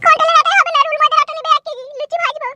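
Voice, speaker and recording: A woman voicing a quarrelsome young village wife in a cartoon comedy, scolding and complaining, with a pitched-up voice. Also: fairly even intonation, rapid-fire, heavy background noise. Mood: practical, softened. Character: nagging, tearful young village wife, affectionate but quarrelsome.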